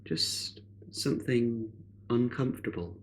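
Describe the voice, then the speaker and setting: A man leading a guided meditation in a measured, unhurried way, leaving long pauses between phrases. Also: mid-range voice, slight background noise.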